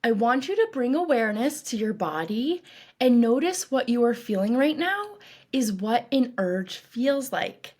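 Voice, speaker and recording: A woman coach leading a guided meditation in a calm, reassuring, instructive way, with deliberate phrasing. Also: fairly high pitch. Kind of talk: guided meditation, scripted narration.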